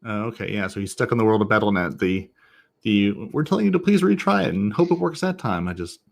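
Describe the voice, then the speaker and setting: A man's voice: lowish voice, expressive, fast talker, gravelly. Wry male podcast host. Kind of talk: casual gaming podcast chat.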